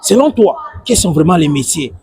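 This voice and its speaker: A man's voice: a little high, expressive, normal speed, warm tone. A man hosting a casual street interview, asking wordy questions dotted with tag questions. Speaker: interviewer and video host.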